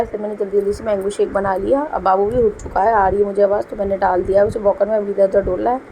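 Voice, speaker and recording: A woman's voice: mid-range voice, dark tone, slight background noise, rough recording. A young woman, a homemaker, narrating her kitchen routine in a chatty, explaining way, with a sore throat and a cough. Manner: chatty, explains as she works. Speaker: homemaker vlogger. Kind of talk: casual voice-over narration. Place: home kitchen.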